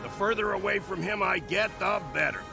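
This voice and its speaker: Creaky, excitable rescued prisoner. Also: male voice, high voice, brisk, music in the background, full character voice. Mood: exasperated, agitated. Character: battered, exasperated male prisoner, freshly rescued soldier. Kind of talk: video game voice acting.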